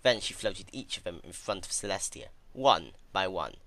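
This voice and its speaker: A man reads a dark tale as a dramatic narrator. His voice is low yet crisp-edged and forceful, moving expressively up and down. The sound is close and dry. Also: lively intonation, normal speed, dry recording. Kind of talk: dramatic scripted narration of dark fan fiction.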